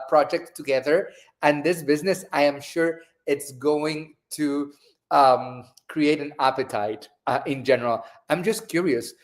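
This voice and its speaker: Cheery guy hosting a livestream, slightly high voice, playful. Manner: upbeat, playful, addresses viewers. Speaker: event host. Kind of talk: live event hosting.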